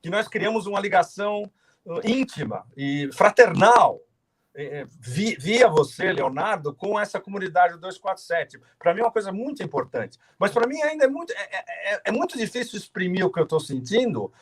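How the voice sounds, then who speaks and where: A man with a husky, fairly high voice that swings widely in pitch, talking over a video call. He speaks with passion, stresses his points hard and often stumbles and restarts mid-phrase. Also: sing-song. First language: not Portuguese; says he no longer speaks it. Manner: passionate, halting restarts, emphatic. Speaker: guest commentator.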